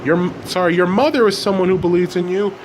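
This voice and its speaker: Background noise, man acting as earnest dad, raised pitch, imploring, repetitive, story character. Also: fast, natural voice. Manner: imploring, repeats himself. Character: pleading, earnest father of a teenage boy.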